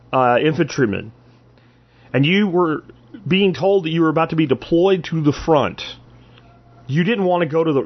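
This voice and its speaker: A man's voice: fairly high pitch, muffled recording. A man hosting a podcast, delivering an opinionated political monologue in an emphatic way, with rhetorical questions and repeated phrases. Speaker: podcast host.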